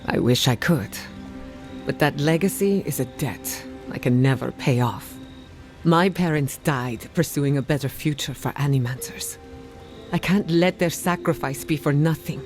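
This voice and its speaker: An actress playing an earnest, burdened but resolute woman scholar in a fantasy video game, speaking in a measured, reflective way. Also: low-pitched, darkish tone, faint music behind. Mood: bitter, burdened. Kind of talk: dramatic video game dialogue.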